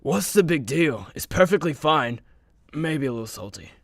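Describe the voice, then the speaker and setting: An actor playing a brash, crude superhero, mocking and blunt, in a comedy radio play. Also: male voice, on the high side, animated, normal speed, no echo, clean studio sound. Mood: boastful, casual.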